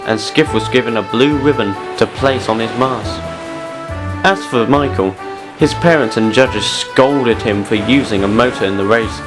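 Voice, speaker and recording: A man's voice: medium pitch, rough recording. A man reading scripted narration for a children's style audio drama story.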